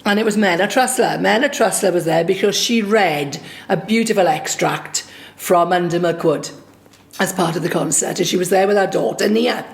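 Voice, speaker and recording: A woman from Swansea with a Welsh accent, a singer and songwriter recounting a personal story in a lively, anecdotal way. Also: lowish voice, normal speed, vocal fry. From Swansea, Wales. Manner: lively anecdotal, acts out quoted dialogue.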